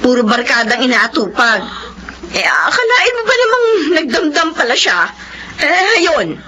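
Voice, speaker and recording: A woman's voice: sits high, huge pitch swings, unhurried, pushed and bright, slight background noise, exaggerated voice. An actress playing a flustered, talkative woman friend in a radio-style drama. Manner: flustered, chatty.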